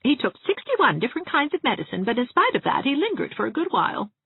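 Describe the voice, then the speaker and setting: A woman's voice: high voice, huge pitch swings, fast talker, creaky, slightly rough, dry recording, narrow, tinny audio, pitched above the performer's own voice, full character voice. A woman voicing a ladylike small-town spinster dressmaker in an audiobook, chatting genteelly with relish for grim family gossip. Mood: chatty, genteel. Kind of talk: acted audiobook dialogue.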